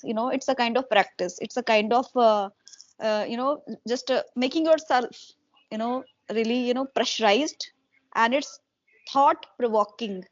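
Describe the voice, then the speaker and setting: A woman's voice: fairly high pitch, no echo, muffled recording. A woman hosting a casual English conversation practice, speaking fluently and reflectively with fillers like "you know". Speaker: host, English conversation partner.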